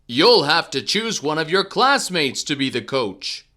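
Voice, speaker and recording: A man's voice: fairly high pitch, sing-song, a little slow, sharp tone, full character voice. A male narrator voicing a kindly schoolteacher who speaks in a friendly, encouraging way.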